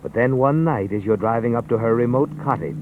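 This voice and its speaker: An actor playing a brooding narrator who addresses the hero in an old radio suspense tale. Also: male voice, mid-range voice, a little fast, noisy background, natural voice. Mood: measured suspense. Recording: tinny old radio broadcast, faint music.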